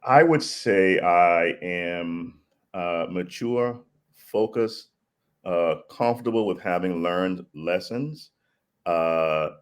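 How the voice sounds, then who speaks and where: A middle-aged man raised in Liberia, speaking as an interview guest in a thoughtful, measured way with frequent pauses. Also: deep voice. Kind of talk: reflective conversational storytelling.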